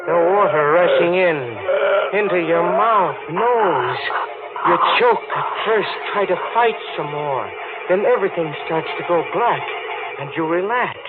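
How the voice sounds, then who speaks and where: Casting: vengeful drowned ghost, unhurried hypnotic menace, thin old radio sound over music. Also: male voice, high voice, lively intonation. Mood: eerie, intense. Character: vengeful drowned man's ghost, calm and taunting. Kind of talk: old-time radio horror acting. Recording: tinny vintage radio recording with music.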